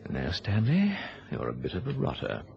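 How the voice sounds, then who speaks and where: Creaky, swooping, shifty charmer. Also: male voice, deep voice, full character voice. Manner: hesitant, wheedling, with throat-clearing. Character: shifty, flirtatious businessman, smoothly making excuses. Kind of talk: radio play acting. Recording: dull old radio recording.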